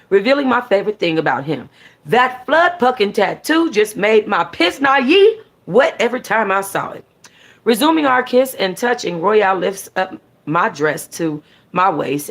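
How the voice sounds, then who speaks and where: A woman hosting a book club, reading a first-person story aloud in an informal way, sometimes stumbling and correcting herself. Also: medium pitch. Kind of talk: animated first-person audiobook-style reading with casual asides.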